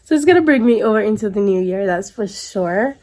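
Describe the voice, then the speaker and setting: A woman's voice: medium pitch, animated, a little fast, darkish tone. Congested young woman chatting to camera. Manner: chatty, stops and restarts, rambling. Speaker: vlogger. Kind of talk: casual vlog chat to camera.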